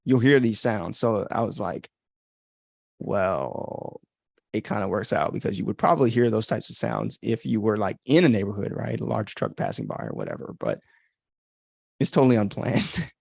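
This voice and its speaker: A man's voice: fairly low pitch, sing-song, normal speed, vocal fry, darkish tone, slightly rough, no room sound, studio quality. An American man giving casual, off-the-cuff commentary as a podcast host, chatty and informal with reflective asides.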